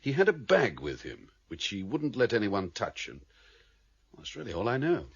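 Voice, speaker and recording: An actor in a radio drama playing a grave, commanding male leader of an underworld council, speaking formally and with measured authority. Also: fairly low pitch, huge pitch swings, husky, exaggerated voice. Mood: measured, guarded. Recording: dull, band-limited radio drama recording.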